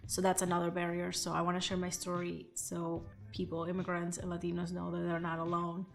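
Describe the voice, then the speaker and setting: A woman's voice: on the low side, monotone, fairly quick, vocal fry. A woman in her thirties, raised in Venezuela, speaking English in an earnest, reflective documentary interview. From Portugal and Venezuela. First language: Spanish or Portuguese. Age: 30s. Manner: earnest, reflective, frequent fillers. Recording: faint music behind.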